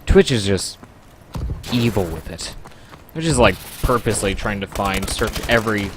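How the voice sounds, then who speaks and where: A man's voice: lowish voice, animated, normal speed, noisy background. A man chatting casually to his audience during a livestream, rambling along with frequent ums.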